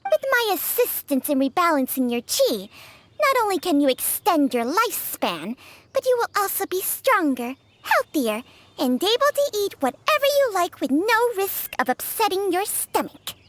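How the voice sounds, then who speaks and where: A woman's voice: very high-pitched, fairly bright tone, exaggerated voice. An actress voicing an ancient female spirit, proud and teasing, in video game dialogue. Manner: teasing and self-assured.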